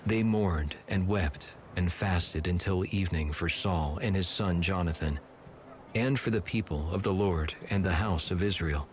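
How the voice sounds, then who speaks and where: A man narrating scripture from a script in a measured, solemn manner. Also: low-pitched. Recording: clearly audible background noise and ambience.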